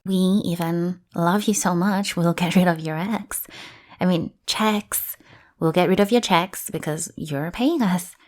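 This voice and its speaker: A woman's voice: fairly low pitch, measured pace, darkish tone, close to an everyday voice. A woman playing a devoted, lovestruck bodyguard in an audio roleplay, earnest and awkward, often hastily correcting herself. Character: devoted, flustered young female bodyguard, hopelessly lovestruck and clingy. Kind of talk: acted audio roleplay addressed to listener.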